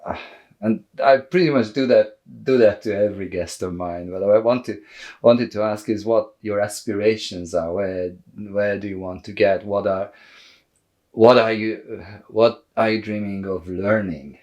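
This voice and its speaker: Very deep-voiced, halting male podcast host. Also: fairly even intonation, a little mellow, dull audio. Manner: halting, repeats words while forming sentences. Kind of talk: conversational interviewing.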